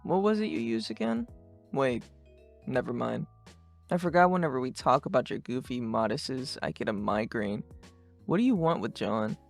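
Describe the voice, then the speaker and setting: A woman voicing a laid-back, sarcastic teenage boy in a fan dub, talking in deadpan slang. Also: rumbling low, normal speed, creaky, faint music behind, heavily acted. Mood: deadpan, mildly sarcastic.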